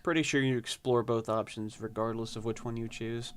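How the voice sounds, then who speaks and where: A man's voice: medium pitch, steady tone, a little fast, noisy background. Rambling young man reading game text. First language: not Japanese. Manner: rambling, hesitant, many fillers. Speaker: let's play gamer. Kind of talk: casual gameplay commentary, reading game text aloud.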